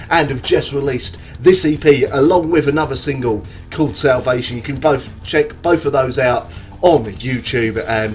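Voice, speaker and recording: A man hosting a casual music review video, stuffy from a cold and hay fever, chatty and rambling with plenty of ums and self-corrections. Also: mid-range voice. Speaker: music reviewer and show host. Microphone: camera video recording.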